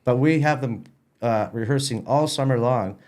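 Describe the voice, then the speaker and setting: A mature man talks casually as a guest in an interview. His pitch is average for a man. He speaks haltingly, doubling back on words and often checking in with a short question tag. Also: a little mellow. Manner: halting, repeats words, tags with "right?". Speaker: festival organiser, podcast guest.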